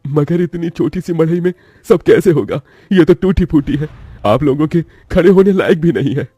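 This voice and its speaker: Guy doing a poor worried village dad in a cartoon story, rough husky voice, pleading. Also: fairly high pitch, normal speed, mellow, dry recording, lo-fi sound. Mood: humble concern. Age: middle-aged. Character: poor, anxious, humble labourer father of daughters. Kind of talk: dramatised Hindi moral cartoon story.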